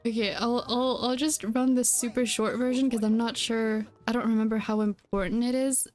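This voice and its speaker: Woman streamer chatting haltingly, music behind. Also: fairly high pitch, steady tone, darkish tone. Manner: hesitant, rambling, self-correcting. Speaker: game streamer. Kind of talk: casual chat while playing a game. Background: background music.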